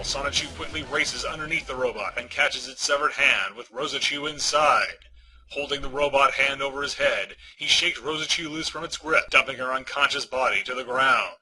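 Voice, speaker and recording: A man's voice: mid-range voice, low-quality recording. A man narrating a comic-book story as an audiobook, reading from a script. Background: music.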